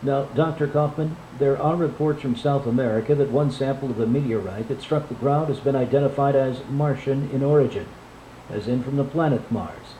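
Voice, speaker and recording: A man's voice: mid-range voice, a little fast, mellow, lightly acted. A man playing a flustered, formal television news anchor covering a crisis in a radio drama. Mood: composed, urgent. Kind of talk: live newscast radio drama acting. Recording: echoey room playback, faint noise.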